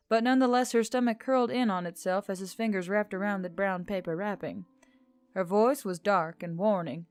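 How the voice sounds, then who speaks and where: A woman's voice: mid-range voice, no echo. A woman reading scripted fiction as a narrator, telling a story in prose. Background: background music.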